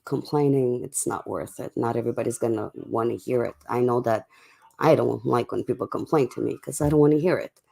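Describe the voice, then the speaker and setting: A livestream host chatting casually and in a laid-back way to viewers late at night, with a voice going hoarse from a cough. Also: mid-range voice, a little fast, mellow. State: sick with a cough, voice getting hoarse.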